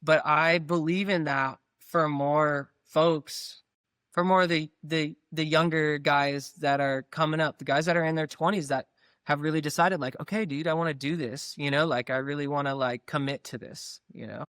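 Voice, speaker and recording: A middle-aged man, a guest dancer, talking in a relaxed, thoughtful way with lots of "like" and "you know". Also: a little high, normal speed, clean tone, no echo, studio quality. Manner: relaxed, thoughtful, uses "like" a lot. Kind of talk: reflective interview talk.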